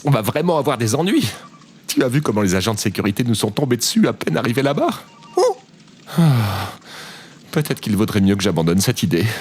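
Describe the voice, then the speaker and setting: An actor playing an earnest, self-doubting former president in an audio drama, musing aloud. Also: male voice, lowish voice, husky. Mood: worried. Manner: talks excitably to a companion, musing aloud. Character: self-doubting male ex-president turned phone operator, earnest. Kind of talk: French audio drama acting.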